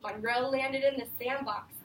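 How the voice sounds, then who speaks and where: A woman with a high voice reads aloud as a teacher to a group of young children. She is expressive and patient, stopping to encourage them with questions. The sound is roomy and picked up from a distance, with a little noise behind it. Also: normal speed. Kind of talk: animated picture-book read-aloud with questions to children. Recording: roomy, distant mic, faint background noise.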